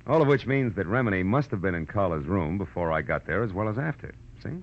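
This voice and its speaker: An actor playing a tough, self-confident American private detective in old-time radio style, clipped and wisecracking. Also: male voice, low voice, lively intonation, fast talker. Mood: explaining, relaxed. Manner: hard-boiled, clipped wisecracking. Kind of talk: 1940s-50s American radio detective acting and narration. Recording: muffled, noisy old-time radio recording.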